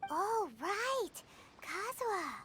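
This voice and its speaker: A tiny floating fairy girl from a video game. The voice is extremely high and childlike, swooping all over in a cutesy, excitable way. Music plays clearly behind it. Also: extremely slow, full character voice. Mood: cheerful. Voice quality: squeaky, childlike. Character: tiny floating fairy girl companion, childlike, chirpy, speaking in third person.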